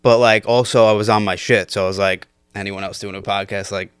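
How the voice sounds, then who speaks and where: A man's voice: fairly low pitch, steady tone, brisk, smooth, no echo, studio quality. A young man in his twenties telling stories on a casual podcast, loose and laughing with lots of fillers. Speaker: podcast guest. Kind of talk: casual storytelling chat.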